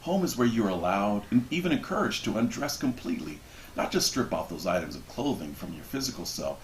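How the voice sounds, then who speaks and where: A man reading a book passage aloud expressively, with measured, deliberate phrasing and dramatic pauses. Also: fairly low pitch, big pitch swings. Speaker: reader of a book introduction. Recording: roomy echo with background noise.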